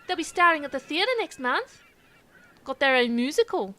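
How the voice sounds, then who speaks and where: A woman playing a brash, dim, indignant Australian show presenter in an audio comedy, loud and matey. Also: high voice, lively intonation, normal speed.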